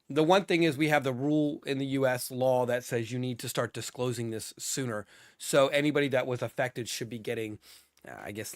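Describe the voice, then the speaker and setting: Hoarse man chatting casually on a livestream. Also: medium pitch, a bit bright. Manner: casual, rambling, filler-heavy. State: hoarse from being sick. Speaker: host of a security news livestream. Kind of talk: casual livestream news commentary. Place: home studio, lives in Singapore.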